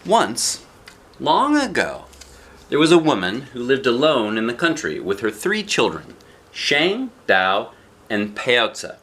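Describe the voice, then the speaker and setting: A man reading a picture book aloud as a dad does, in expressive narration with casual asides. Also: mid-range voice, takes it slowly, husky.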